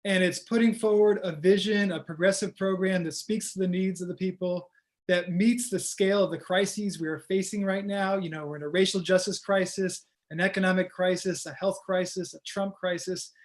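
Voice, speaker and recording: A man, a candidate, giving energetic, rallying answers in an interview over a video call. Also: high-pitched, normal speed. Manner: energetic, rallying, stump-speech delivery. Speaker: congressional candidate.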